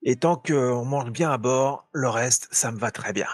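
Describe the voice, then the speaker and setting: A man at a tabletop game describes his character with gruff humor. His voice is mid-pitched and recorded close and dry. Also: a little slow, no echo. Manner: gruff, joking. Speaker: player.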